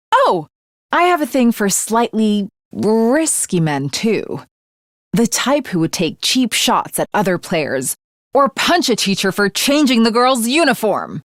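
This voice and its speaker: A woman's voice: mid-range voice, no room sound, close to an everyday voice. Grandiose young swordswoman, acted by a woman, dry sound. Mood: swooning, excited. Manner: formal, archaic, grandiose declarations. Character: earnest teenage swordswoman, grandiose and chivalrous, secretly fangirlish. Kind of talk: English anime game voice acting.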